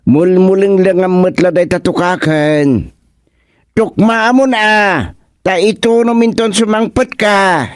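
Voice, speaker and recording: A bumbling, jumpy village man acted by a man in a comedy radio serial. He speaks slowly in a fairly high voice, stammering and exclaiming, recorded dry and close. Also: no echo. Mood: puzzled, concerned. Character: startled, bumbling village man talking to a frog. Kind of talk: comedy radio drama acting.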